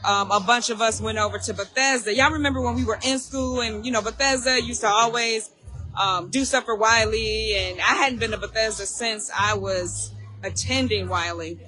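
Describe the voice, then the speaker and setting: Hoarse, chatty woman. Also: fairly high pitch, moderate pace, pushed and bright. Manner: chatty, repeats herself, laughs often. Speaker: alumni association host. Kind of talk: casual live video chat.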